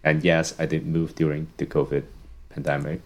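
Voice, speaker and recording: Podcast guest: young male academic, very deep voice, casual, filler-heavy storytelling. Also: moderate pace. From Hong Kong. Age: young adult. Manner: relaxed storytelling, frequent "um" and "you know". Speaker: guest, psychology professor.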